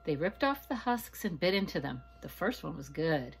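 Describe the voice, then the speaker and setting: A woman reading a children's story aloud like a teacher, expressive and dramatic, engaging her young listeners. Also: low-pitched. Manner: dramatic, pausing to ask listeners questions.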